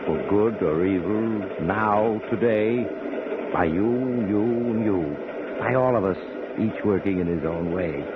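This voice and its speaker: An actor playing a mysterious storyteller host, addressing the listener theatrically and confidingly in a 1940s radio show. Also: male voice, fairly low pitch, measured pace, lightly acted. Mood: solemn. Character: mysterious, ominous male storyteller host on a train. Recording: noisy, dull 1940s radio recording.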